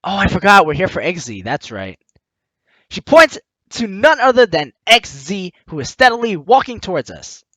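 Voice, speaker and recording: High, animated man reading a game aloud. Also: normal speed, no echo. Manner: excitable, stumbles while reading, joking. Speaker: let's player. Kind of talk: reading a visual novel aloud with lively reactions. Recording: music behind the voice.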